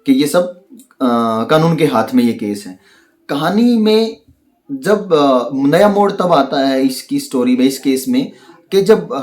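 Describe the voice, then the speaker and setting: A man gives unscripted commentary, explaining and arguing his opinions in halting, searching phrases. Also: medium pitch. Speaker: online commentator analysing a crime case. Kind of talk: unscripted spoken commentary on a crime case.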